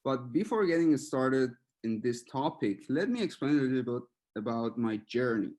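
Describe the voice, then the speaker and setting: Iranian-born man giving a relaxed webinar talk. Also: mid-range voice, normal speed. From Iran. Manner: relaxed, searching for words, with small laughs. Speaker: machine learning researcher giving a webinar.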